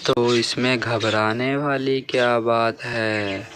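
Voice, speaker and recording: Casting: man playing steady, caring cartoon bird husband, bright forceful tone, slow reassuring delivery, faint noise behind. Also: medium pitch. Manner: reassuring, gently chiding.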